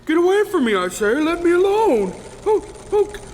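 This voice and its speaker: A performer acts out a panicked gentleman robbery victim in a live radio play, with an extremely high, shrieking voice. The words come out in frantic stammers, echoing through a reverberant hall over faint noise. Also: very animated, moderate pace. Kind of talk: live radio drama acting.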